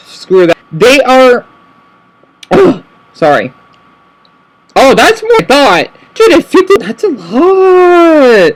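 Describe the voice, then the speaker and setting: A man with a high voice talks casually to camera and keeps rambling. He corrects himself over and over and tags his points with "right?". He slows right down when he spells out words. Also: a bit bright, poor audio. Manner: rambling, self-correcting, frequent "right?" tag questions. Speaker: vlogger.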